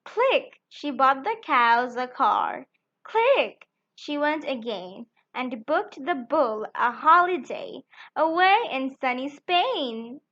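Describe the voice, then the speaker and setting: High-pitched woman reading a children's story slowly. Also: lively intonation, fairly bright tone, dull audio. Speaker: children's storytime channel host. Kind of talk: read-aloud children's picture book narration.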